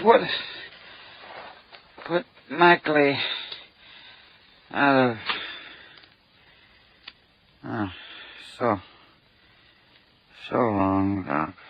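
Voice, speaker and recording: An actor playing a gravely injured young man, gasping out a guilty confession in weak, broken speech in a classic radio drama. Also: mid-range voice, very animated, drawn-out words, long pauses, full character voice. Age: young adult. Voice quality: breathy, weak. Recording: muffled old radio recording.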